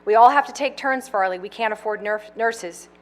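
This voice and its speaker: A woman's voice: mid-range voice, normal speed, voice pushed up, lightly acted. A woman voicing a stern, bossy older sister who scolds in clipped lines. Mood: scolding.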